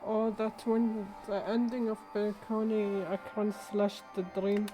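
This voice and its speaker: A woman's voice: medium pitch, little pitch movement, takes it slowly. A woman livestreaming a game with casual, musing commentary, often echoing phrases back. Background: game music.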